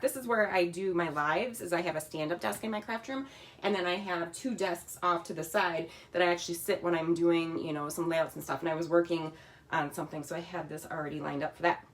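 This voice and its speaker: A woman's voice: on the low side, brisk, a little hiss or hum. Chatty woman demonstrating a craft kit. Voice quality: slightly raspy. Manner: chatty, talks while working with her hands. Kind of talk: casual crafting tutorial. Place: home craft room.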